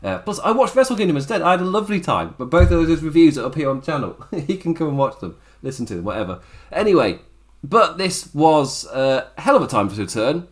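A man with a strained, raspy voice hosting a casual wrestling review podcast, rambling with frequent chuckles and self-corrections. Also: a little high, very animated, fairly quick. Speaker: wrestling show host.

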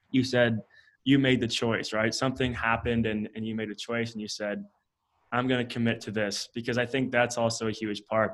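A man hosting a podcast, asking curious questions in casual, friendly talk. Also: mid-range voice. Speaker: podcast host.

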